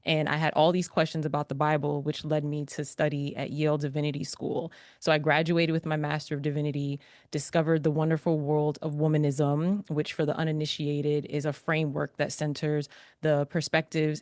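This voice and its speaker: A woman from New York City, a pastor, author and podcaster, telling her story as an interview guest with a lively, preacherly manner. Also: low voice, normal speed, dry recording, clean studio sound.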